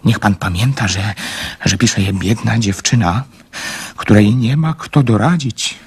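An actor playing a shy, devoted young man in love in a radio drama, speaking in a husky, halting, stammering and earnest way. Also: fairly low pitch, full character voice. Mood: earnest, hesitant.

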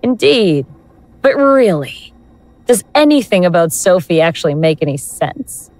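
A woman's voice: on the low side, big pitch swings, darkish tone, no echo, faint music behind. A woman acting in an audio drama as a mocking elder god in the guise of a young woman, teasing and condescending. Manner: slow, teasing, condescending, self-possessed.

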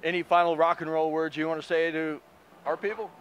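A man's voice: a little high, steady tone, brisk, dull audio, slight background noise. A middle-aged man with a slightly raised voice hosts a backstage interview outdoors. He talks with hyped enthusiasm and casual swearing. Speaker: interview host. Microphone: handheld mic.

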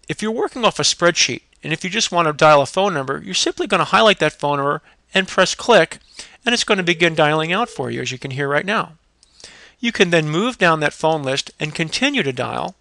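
A man presenting a product demo as a software salesman, with an emphatic, instructional, salesy way of talking. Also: a little high, no echo. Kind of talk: screen-recorded product demo pitch. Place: indoors, voice-over on a computer screen recording.

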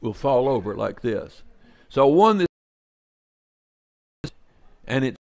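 Older guy explaining bible verses, earnest and animated, dead dry room sound. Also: medium pitch, takes it slowly, gravelly, no room sound. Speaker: bible teacher. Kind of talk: informal bible teaching. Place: indoors, kitchen under renovation.

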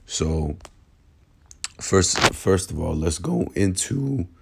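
A man who is hoarse from a cold, with a raspy voice, teaching an informal bible lesson in a halting way, repeating words and using many fillers. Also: sits low, animated, very noisy. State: hoarse from illness. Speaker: religious teacher. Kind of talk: informal bible lesson over screen recording. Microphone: phone screen recording.

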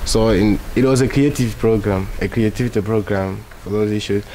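Low-voiced young Malawian man. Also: a little fast, warm tone, slight background noise, poor audio. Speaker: youth programme participant. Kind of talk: documentary interview testimony.

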